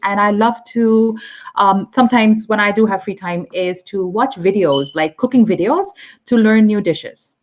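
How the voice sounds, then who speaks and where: A woman's voice: mid-range voice, unhurried, muffled recording. A woman from Pakistan teaching English on a live video call, chatty and explaining with examples and many fillers. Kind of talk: live online English lesson.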